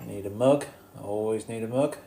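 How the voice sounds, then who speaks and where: Low-voiced man, nasal with a cold. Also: a little fast, creaky, very noisy. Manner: informal, muttering asides to himself. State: has a head cold. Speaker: livestream host. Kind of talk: casual livestream chat, greeting viewers while looking over fish tank.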